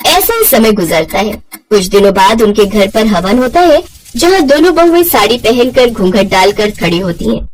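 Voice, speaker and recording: Female narrator for scripted family fables: lively intonation, raised pitch, slight noise behind. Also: moderate pace. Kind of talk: scripted moral story narration.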